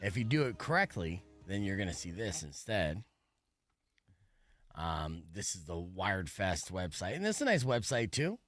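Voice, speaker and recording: A man's voice: deep voice, sing-song, normal speed, fairly bright tone, no echo, faint music behind. A man hosting a radio show, chatting casually with a guest in a rambling way, with lots of fillers. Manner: laid-back, rambling with fillers. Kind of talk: casual on-air chat.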